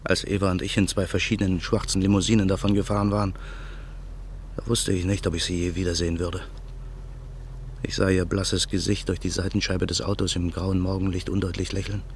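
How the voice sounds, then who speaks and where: A brooding narrator in a radio play, recalling his past in a reflective, measured way. His voice is very deep, with a faint hiss behind it. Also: flat delivery.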